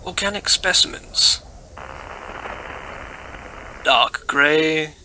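A fairly high-pitched male expedition scientist, acted by a man in an audio play. He dictates his discoveries in halting, telegraphic bursts, as if sending a field report. Clear noise sits behind the voice. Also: pushed and bright, exaggerated voice. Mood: excited, awed. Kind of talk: amateur audio drama acting, dictated report. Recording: clearly noisy background.

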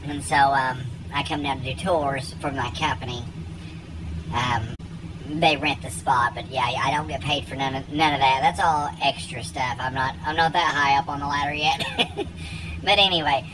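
A man rambles into a phone held at arm's length, playing a self-important tour guide. His pitch swings widely from line to line. Wind and passing cars hiss behind him on the outdoor phone recording. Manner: rambling, animated, talks to people off camera. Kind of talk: rambling comedic monologue to camera. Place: outdoors, by a lake, then indoors in a truck cab.

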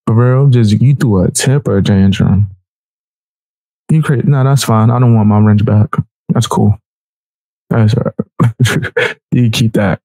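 A man gives casual reaction commentary as a show host. His voice is mellow and slightly husky, with a dry, close sound. He talks in slang and with a mocking edge. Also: lowish voice, steady tone, slow pace, pauses often, no room sound, low-quality recording.

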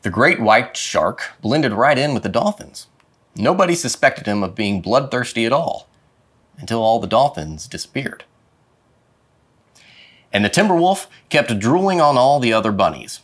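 A man reading a children's picture book aloud with dramatic, expressive phrasing. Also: medium pitch, normal speed, husky. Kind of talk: expressive storybook read-aloud. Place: indoor studio with plain dark backdrop.

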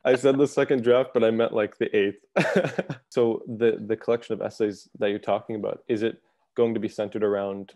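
Gravelly low young man hosting. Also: moderate pace. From Canada. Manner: friendly, relaxed questioner, with hedging fillers. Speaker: host, co-editor of a literary journal. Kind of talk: casual interview over video call. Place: home room. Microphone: earphone mic on video call.

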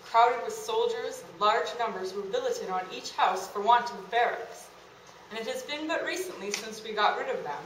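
A woman's voice: fairly high pitch, a bit bright. Woman reading a letter in an echoey room. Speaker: reader of historical letters. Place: indoor meeting room. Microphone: camera several feet away. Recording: echoey room, distant, faint hiss.

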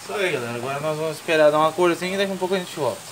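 Southern Brazilian man chatting over loud sizzling. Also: on the high side, animated, very fast. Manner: colloquial, jokey, explanatory. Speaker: home cook presenting a recipe. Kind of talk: casual cooking chat. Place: home kitchen beside a sizzling pan. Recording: loud frying sizzle and stirring noise.

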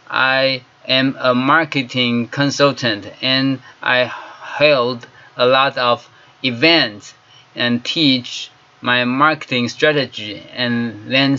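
A man in his forties from Taiwan, a native Chinese speaker with a Taiwanese Mandarin accent, giving a halting product testimonial in simple English from his office. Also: mid-range voice, steady tone, bright, forceful tone, lo-fi sound. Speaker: marketing consultant.

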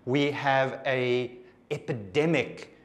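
A South African man in his forties with an Afrikaans accent, preaching to camera. He speaks earnestly and intimately, pausing to reflect, in a mid-range voice. Recorded cleanly in a bare studio. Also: medium pitch, fairly even intonation. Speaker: pastor. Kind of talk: sermon to camera.

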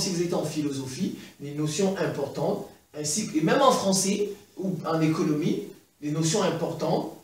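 A middle-aged man with a Central African French accent giving an animated chalkboard lesson, repeating points with many fillers. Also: high voice, distant mic. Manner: animated, gesturing, repeats points with frequent "eh ben, voilà". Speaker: teacher. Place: classroom in front of a chalkboard. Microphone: far camera. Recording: very echoey room, voice from afar.

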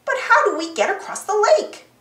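An excitable young boy monkey from a children's storybook, as a woman narrator puts him on. He is high-voiced and swings wildly in pitch, exclaiming and wondering aloud with friendly eagerness. The sound is a little roomy. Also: normal speed, exaggerated voice. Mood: excited, curious.

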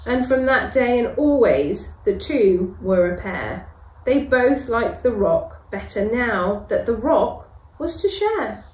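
A middle-aged woman, a reception class teacher, reading a picture book aloud to children with gentle, expressive delivery and dramatic pauses. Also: fairly high pitch. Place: school classroom, indoors. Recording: echoey classroom.

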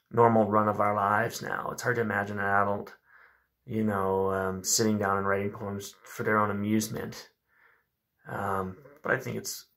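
A man's voice: lowish voice, creaky, pushed and bright. A man in his thirties talking about and reading poetry in a reflective, informal way. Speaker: host of a poetry reading video. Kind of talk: poetry recitation and casual commentary. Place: small room at home with a bookshelf, indoors.

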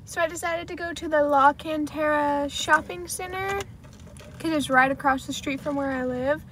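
A young American woman with a high voice chats casually in a vlog recorded inside a car. Her talk is informal and chatty, sprinkled with "like" as she explains things to the camera. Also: steady tone, normal speed, noisy background. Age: 20s. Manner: chatty, frequent "like" as filler. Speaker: salon assistant vlogger.

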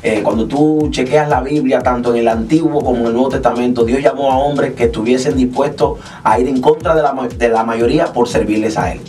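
A man's voice: medium pitch, steady tone, a little fast, few pauses, a little mellow, far from the mic, a little hiss or hum. Earnest Cuban man on studio interview. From Cuba. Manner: earnest, explanatory, sings gospel lines. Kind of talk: radio interview. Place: small radio studio. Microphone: studio mic with headphones.

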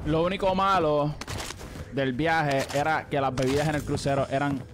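A young man, hoarse from shouting, chatting casually with his live-stream viewers and greeting them by name. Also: on the high side, fairly even intonation, normal speed, background noise. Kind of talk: casual live-stream chat while gaming. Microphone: studio mic on arm, headphones.

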